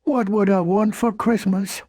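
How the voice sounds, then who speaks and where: A woman voicing a gentle, naive teddy bear who muses slowly in a children's storybook. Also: mid-range voice, fairly even intonation, dry recording, studio quality, lightly acted. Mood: musing. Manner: slow, musing, naive. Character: gentle, simple, kindly male teddy bear. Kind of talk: children's storybook character acting.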